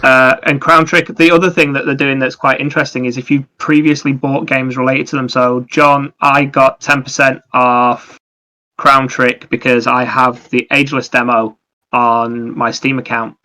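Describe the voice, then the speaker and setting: Male co-host for casual gaming chat. Bright tone, narrow pitch movement, explanatory. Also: little pitch movement, moderate pace. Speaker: podcast co-host. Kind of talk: casual video game discussion.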